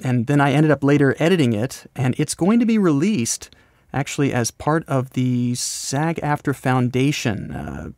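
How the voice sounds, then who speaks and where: An American man being interviewed, explaining his creative work in a casual, rambling, halting conversational way. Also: medium pitch, lively intonation, a little mellow, dry recording, clean studio sound. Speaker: podcast host, voice actor and scriptwriter being interviewed.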